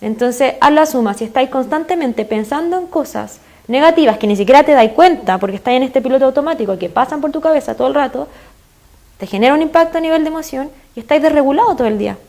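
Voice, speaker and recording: A woman's voice: high voice, expressive, fast, darkish tone. A woman with a Chilean accent, a guest expert in an interview, explaining earnestly with frequent fillers. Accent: Chilean Spanish.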